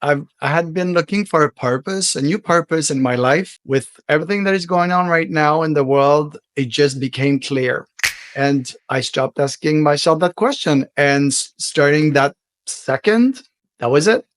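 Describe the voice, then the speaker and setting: A French Canadian man, a native French speaker, hosting his own podcast in an earnest, heartfelt monologue that slows down for emphasis. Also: on the high side, no room sound. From Quebec, Canada. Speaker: podcast host.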